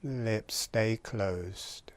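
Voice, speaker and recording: A man giving guided breathing and relaxation instruction in a calm, gentle manner, in short spaced phrases. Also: fairly low pitch, drawn-out words.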